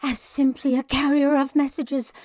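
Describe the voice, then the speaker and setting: A woman playing an airy, unflappable mystical fortune teller in a comic audio drama, with deliberate, dramatic delivery. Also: high-pitched, level tone, darkish tone, no echo, clean studio sound, lightly acted. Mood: knowing.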